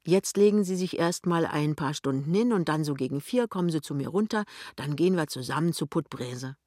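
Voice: mid-range voice, lively intonation, fairly quick, a little mellow, no room sound, studio quality, lightly acted. A narrator voicing a stern, brusque shopkeeper's wife in an audiobook, bossy and emphatic but helpful. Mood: decisive. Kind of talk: audiobook character dialogue.

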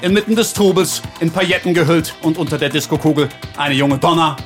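A man's voice: on the high side, steady tone, a little fast, faint music behind, close to an everyday voice. A man playing a pompous, theatrical narrator of a crime radio play. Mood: grandiose. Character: grandiose male crime-story narrator, theatrically solemn.

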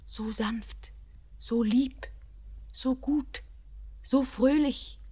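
Young bride, tender and anguished, talks slow, pitch sits a bit high, whispers a lot. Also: female voice, husky, full character voice. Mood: mournful. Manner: shifts between hushed whispers and impassioned outbursts. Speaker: lead actress. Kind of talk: classic radio play acting.

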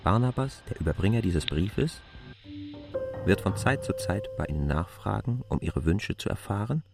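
Deep creaky man, animated literary reading. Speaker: narrator. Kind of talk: scripted literary reading in a radio play.

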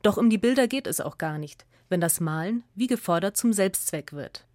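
A woman from narrates a scripted radio essay in a measured, clearly articulated way. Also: medium pitch, lively intonation, darkish tone, no room sound, studio quality.

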